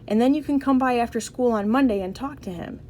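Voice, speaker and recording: A woman's voice: a little high, quick pace, a little mellow, a little hiss or hum, natural voice. A woman voicing a spirited, outspoken young schoolgirl in a storybook, frank and emphatic. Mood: firm.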